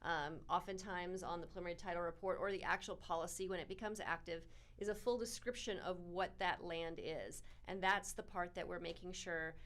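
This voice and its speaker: A middle-aged woman speaks in a slightly low voice, upbeat and clear as she explains things to an interviewer. She talks as an experienced professional who likes breaking details down. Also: fairly even intonation, a little fast, hardly any pauses, fairly bright tone. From born in South Africa, raised in Toronto and Arizona. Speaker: title company representative, guest.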